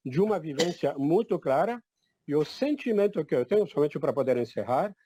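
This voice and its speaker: Older man from Egypt, mellow tone, dry sound, emphatic declarative delivery. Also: a little high, no echo. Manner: emphatic, declarative, repeats "definitivamente". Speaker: event participant. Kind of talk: emphatic personal testimony.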